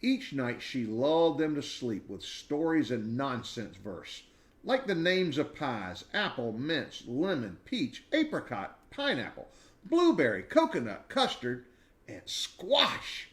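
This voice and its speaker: Man reading a storybook with lively pitch. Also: takes it slowly. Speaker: reader of a children's book. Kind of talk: expressive read-aloud storytelling.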